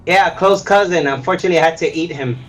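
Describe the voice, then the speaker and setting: A man's voice: a little high, fast, a bit bright, soft background music. A man chatting as a tabletop player in a joking, offhand way. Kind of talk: casual chat at a tabletop game.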